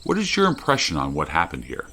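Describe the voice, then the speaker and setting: Man acting a low-voiced, animated true-crime host. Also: fast talker, a little hiss or hum, exaggerated voice. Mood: skeptical. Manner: inquisitive, serious. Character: probing, persistent male true-crime podcast host. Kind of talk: true-crime podcast narration and interview.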